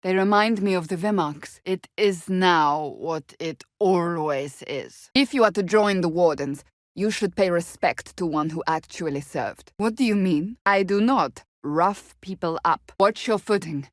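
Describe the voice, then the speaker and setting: A woman's voice: lowish voice, measured pace, no room sound, clean studio sound, natural voice. An actress voicing a stern, proud, devout warrior woman in a fantasy video game, with formal, blunt, earnest diction. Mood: grave, measured. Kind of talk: video game character dialogue.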